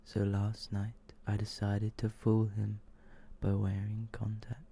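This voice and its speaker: A man softly whispering and murmuring as he reads in an ASMR style, hushed and drawn out, close to the microphone. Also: fairly low pitch, monotone, vocal fry, breathy edge. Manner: hushed, slow, drawn-out delivery. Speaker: ASMR video host reading short horror stories.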